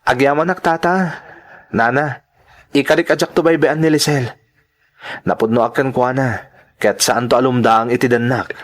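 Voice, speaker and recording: A man's voice: mid-range voice, steady tone, normal speed, long pauses, natural voice. A man playing a devoted, sincere young suitor in a radio drama, with an Ilocano accent. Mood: devoted, pleading.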